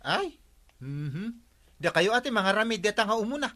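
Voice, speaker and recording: An actor playing a chatty, nosy tricycle driver in a radio comedy drama, with an Ilocano accent. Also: male voice, high voice, big pitch swings, normal speed, sharp tone, full character voice. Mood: curious.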